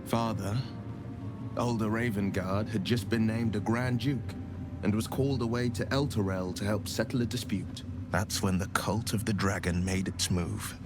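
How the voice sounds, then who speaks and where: An actor playing an earnest, solemn young nobleman adventurer bound by a devil's pact, telling things in a measured way for a video game. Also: fairly low pitch, fairly even intonation, over music, natural voice. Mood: solemn recollection. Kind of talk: video game dialogue acting.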